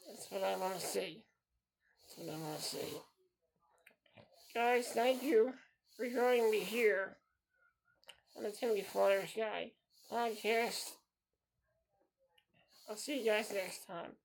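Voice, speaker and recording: A man's voice: high voice, gravelly, a little hiss or hum, poor audio. A man in his thirties hosting a solo podcast, talking in an earnest, repetitive way and circling back to the same phrases. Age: 30s. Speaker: podcast host.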